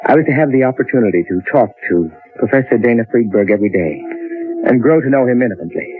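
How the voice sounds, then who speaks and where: A man's voice: medium pitch, lively intonation, quick pace, soft background music, natural voice. An actor playing a nervous, earnest writer in his thirties in old-time radio drama style. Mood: earnest recounting. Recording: lo-fi narrowband old radio recording.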